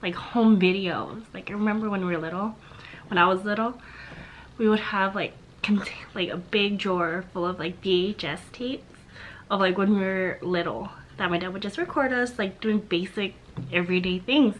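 A young woman in her twenties, a little hoarse from a sore throat, talking softly and haltingly to a camera in a small room at home. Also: mid-range voice, highs cut off, a little hiss or hum. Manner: soft-spoken, halting, with restarts. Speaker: vlogger. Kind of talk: casual talk to camera. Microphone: camera on a stand facing her.

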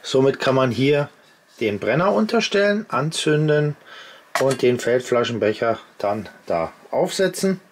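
A man's voice: mid-range voice, sing-song, unhurried, slight background noise. A man in his fifties hosting a casual gear vlog from his home workshop, chatting to viewers and explaining each step as he shows it. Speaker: outdoor gear channel host. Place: indoor home workshop at a workbench.

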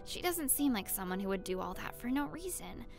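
Woman voicing an earnest schoolgirl, high voice, quick to fire up, fan dub. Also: very animated, creaky, over music. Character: earnest schoolgirl, eager and impulsive, teenage. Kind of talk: amateur anime fan dub.

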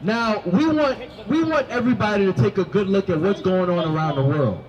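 A young man with a very high voice preaches on a busy city sidewalk, microphone in hand. He quizzes the passersby and climbs into commanding shouts. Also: fairly quick, highs cut off, a crowd in the background. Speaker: street preacher. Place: city sidewalk by a food cart. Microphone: handheld microphone.

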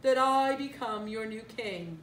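A woman's voice: on the high side, measured pace, fairly bright tone, far from the mic, a little hiss or hum. A woman voicing a menacing, scheming adult male lion in a storybook, snarling and drawing out his words with mock solemnity, heard in a roomy recording.

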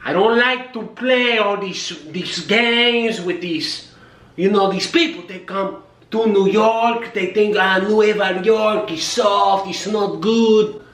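A man's voice: high voice, takes it slowly, a bit bright. Man doing a boastful Mexican muscle bro, roomy kitchen. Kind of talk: comic character monologue. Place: indoor home kitchen. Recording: roomy kitchen, distant camera mic.

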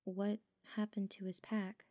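A woman's voice: mid-range voice, level tone, measured pace, warm tone, no room sound, studio quality, close to an everyday voice. A woman playing an anxious female werewolf packmate in an audio drama, sounding worried and hesitant. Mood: concerned.

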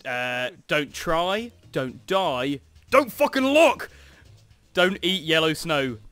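A man's voice: fairly high pitch, animated, slow, fairly bright tone, soft background music. A young man reading quiz prompts aloud and joking with friends during a party game. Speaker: game host reading questions. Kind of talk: casual gaming chat.

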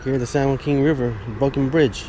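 A man's voice: mid-range voice, fairly even intonation, fairly quick, rough recording. A man in his thirties talking casually to an action camera while fishing by a river and hiking a hill trail. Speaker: fishing vlogger. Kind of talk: casual outdoor vlog. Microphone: action camera, chest-mounted and held at arm's length. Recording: loud wind and water noise outdoors.

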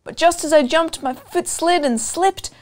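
A young adult woman reading a children's storybook aloud, expressive and deliberate, pausing to put questions to listeners. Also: very high-pitched. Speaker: storytime reader. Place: indoor room with couch and bookshelves.

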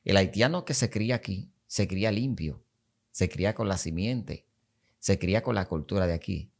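A man's voice: low voice, moderate pace, vocal fry, darkish tone. A man in his forties with a Dominican Spanish accent, talking emphatically and repeating his points as a podcast guest. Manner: emphatic, repetitive, gestures while talking. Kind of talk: podcast conversation. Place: small studio set. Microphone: studio mic on boom arm.

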